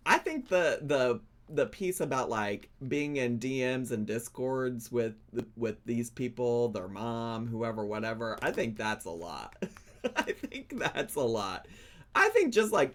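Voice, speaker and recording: A man hosting a gossip commentary show, chatty and sassy, laughing between his remarks. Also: mid-range voice. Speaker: commentary channel host. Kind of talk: gossip commentary reacting to clips.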